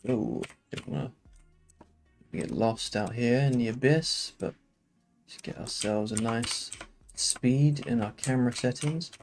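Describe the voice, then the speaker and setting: A man with a slightly croaky voice, explaining game engine settings in a relaxed, unscripted screen-recorded tutorial at his computer. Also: mid-range voice, measured pace, soft background music.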